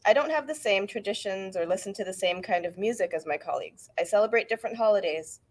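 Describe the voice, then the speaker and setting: A middle-aged Canadian woman, an author reading the introduction to her book aloud at a book event. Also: medium pitch. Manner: measured, earnest reading. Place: bookfest event hall.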